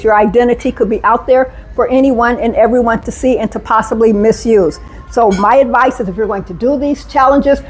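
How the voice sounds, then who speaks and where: Energetic woman giving a talk. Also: fairly high pitch, rough recording. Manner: energetic, engaging, asks the audience questions. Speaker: safety speaker. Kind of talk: live conference talk to an audience. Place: conference room.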